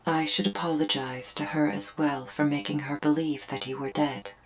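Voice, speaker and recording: Woman acting a low-voiced, formal alien girl. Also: fairly even intonation, normal speed, low-quality recording. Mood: earnest, apologetic. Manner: stilted, formal, precise phrasing without contractions. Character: female alien invader, formal, remorseful and earnest. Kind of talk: scripted ASMR roleplay to the listener.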